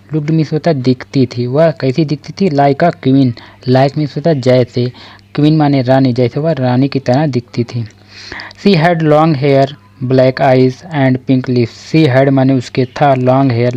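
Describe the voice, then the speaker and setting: A man with an Indian accent teaching an English lesson, reading each English line very slowly and then explaining it in Hindi. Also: medium pitch, dark tone. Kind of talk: slow bilingual English lesson. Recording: dull lo-fi sound.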